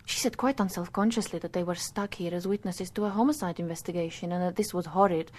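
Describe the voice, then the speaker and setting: An actress playing a policeman's gentle, candid artist wife in a radio drama, intimate and reflective as she recounts things. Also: on the low side, fairly even intonation, fast, close to an everyday voice.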